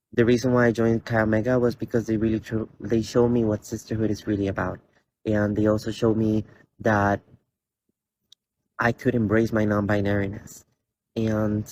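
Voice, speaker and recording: A young man in his twenties from Costa Rica gives an earnest, halting personal statement with many fillers and restarts, standing in a large indoor theater. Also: fairly low pitch, a little mellow, a little hiss or hum, poor audio. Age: 20s. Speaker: college student.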